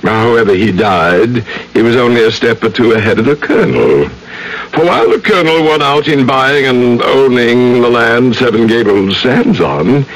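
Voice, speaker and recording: An actor playing a haughty, scheming heir in a radio drama, with a formal, curt way of talking. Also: male voice, medium pitch, rough recording. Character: haughty, scheming male heir of a landed family.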